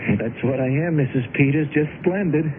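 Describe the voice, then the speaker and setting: An American actor playing an eager, nervous, lovestruck suitor in an old-time radio drama, earnest and stammering. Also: male voice, a little fast, close to an everyday voice. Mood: polite, hesitant. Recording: noisy lo-fi radio broadcast with rumble.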